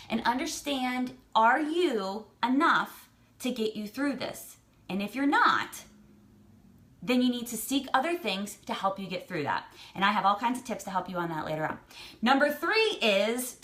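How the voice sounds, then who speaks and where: A woman's voice: on the high side, a bit bright. An American woman giving motivational advice to camera, blunt and emphatic, repeating phrases for stress. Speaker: vlogger giving personal advice.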